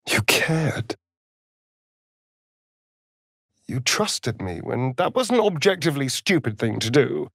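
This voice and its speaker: An actor with an English accent playing a sardonic, flamboyant vampire spawn rogue in a video game, theatrical and arch in manner. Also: male voice, mid-range voice, dry recording, clean studio sound, heavily acted. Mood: tender, earnest. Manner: theatrical, arch, drawling with sighs. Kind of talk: video game character acting.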